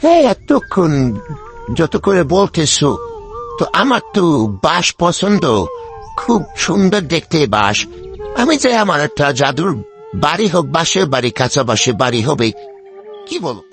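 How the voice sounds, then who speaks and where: A man's voice: on the high side, sing-song, moderate pace, vocal fry, pauses often, fairly bright tone, faint music behind, exaggerated voice. A man voicing a henpecked, lazy older fox husband in a cartoon, wheedling and full of exclamations. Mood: eager, amazed. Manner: wheedling, exclamatory, colloquial village talk. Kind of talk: cartoon story dialogue.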